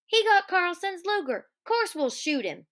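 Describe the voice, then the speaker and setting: A woman's voice: very high voice, very animated, a little slow, voice pushed up, exaggerated voice. A woman voicing a hot-tempered, vengeful young ranch man who barks threats and orders. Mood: angry. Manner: shouting threats, raised and forceful.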